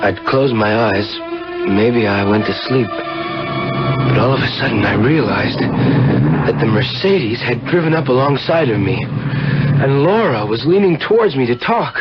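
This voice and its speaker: A man's voice: medium pitch, expressive, unhurried, over loud music, natural voice. An actor playing a desperate, guilt-ridden man who narrates and acts in a 1970s radio drama. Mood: haunted, brooding. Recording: dull, very noisy old radio recording.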